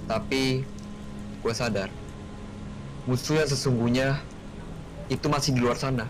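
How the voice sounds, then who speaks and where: A man's voice: medium pitch, fairly even intonation, unhurried, background noise, close to an everyday voice. An Indonesian man voicing a resentful, grimly determined warrior bent on revenge in an amateur game roleplay. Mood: grim resolve. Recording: music and rain-like sound behind.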